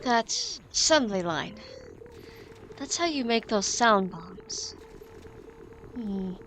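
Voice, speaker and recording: A woman's voice: on the high side, big pitch swings, slow pace, noisy background. A woman playing a bold, heroic magician in a pulp audio drama, declaiming with defiance and indignation. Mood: determined.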